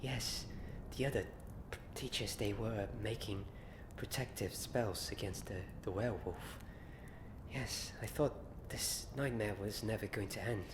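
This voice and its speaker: A man plays a welcoming teacher at a school of magic in a soft-spoken ASMR roleplay. The voice is lowish and very slow, with halting phrases, over clearly audible background ambience. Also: close to an everyday voice. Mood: relieved, welcoming. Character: relieved, welcoming male teacher at a wizard school. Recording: clear ambient noise behind the voice.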